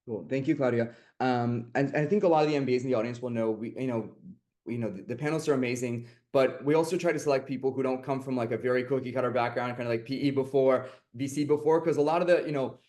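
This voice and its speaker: A man's voice: medium pitch, quick pace, darkish tone. A man moderating an online finance panel, rambling with lots of fillers and restarts.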